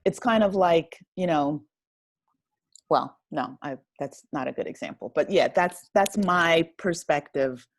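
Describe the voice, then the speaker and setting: A woman's voice: sits low, animated, measured pace. A woman speaking as an interview guest in a casual, reflective way, tentative and often correcting herself. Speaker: interview guest, writer and director.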